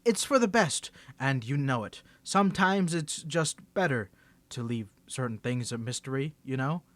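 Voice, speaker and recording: A man's voice: mid-range voice, lively intonation, normal speed, a bit bright, dry recording, lightly acted. A man voice acting an ASMR roleplay as a cocky young superhero with a secret identity, stammering and teasing as he swings between confidence and nerves. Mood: guarded, wistful.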